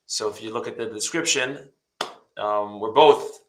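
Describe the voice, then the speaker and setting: A man's voice: fairly low pitch, unhurried, roomy sound, slight background noise. A man from New York co-hosting a live talk show, loosely passing on viewer questions with many fillers. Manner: relays viewer messages in a loose, filler-heavy way. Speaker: co-host reading viewer questions. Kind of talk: casual live chat.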